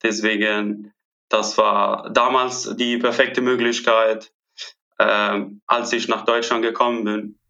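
A man's voice: lowish voice, little pitch movement, slow, bright, forceful tone, muffled recording. A man, answering interview questions hesitantly with many filler sounds. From Italy. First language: Italian. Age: 20s. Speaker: guest, airport trainee. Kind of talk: podcast interview.

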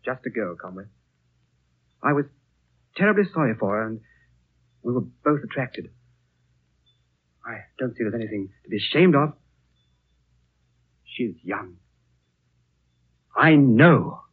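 Agitated young Englishman, wildly swinging pitch. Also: measured pace, pauses often, husky. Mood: earnest, insistent. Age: young adult. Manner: stammering, pleading, excitable. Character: agitated, lovestruck young Englishman, stubborn and impulsive. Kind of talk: 1930s radio acting. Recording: muffled, noisy 1930s radio broadcast.

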